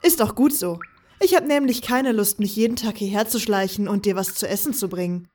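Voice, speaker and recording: A woman's voice: medium pitch, brisk, a little mellow, distant mic, a little hiss or hum, lightly acted. A woman playing a teasing, confident, carefree young woman who sneaks about, in a fan audio drama. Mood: casual, encouraging. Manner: breezy, teasing.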